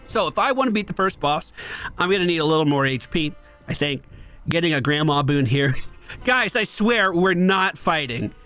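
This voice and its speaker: A man's voice: on the high side, animated, normal speed, sharp tone, soft background music. A man streaming a video game, giving casual play-by-play commentary on his own moves with asides to viewers. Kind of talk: live gameplay commentary, casual.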